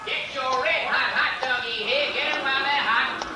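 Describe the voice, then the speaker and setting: A woman's voice: high voice, steady tone, normal speed, close to an everyday voice. A woman playing a fairground hot dog vendor, calling out a repetitive hawker's chant in old radio drama style. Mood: hawking. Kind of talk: old-time radio suspense acting. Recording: echoey vintage radio, loud music. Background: loud music.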